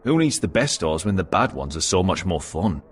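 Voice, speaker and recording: A man's voice: deep voice, big pitch swings, moderate pace, breathy edge, exaggerated voice. An actor playing a wry, brooding exiled nobleman turned sellsword in a video game, dry and coarse in manner. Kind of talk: video game character acting.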